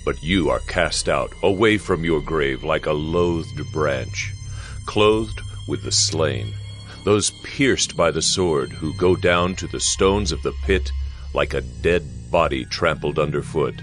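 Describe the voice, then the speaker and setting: A man with a very deep voice narrates dramatized scripture. His delivery is solemn and declamatory, leaning firmly on key phrases. Soft music runs quietly behind him. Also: slow.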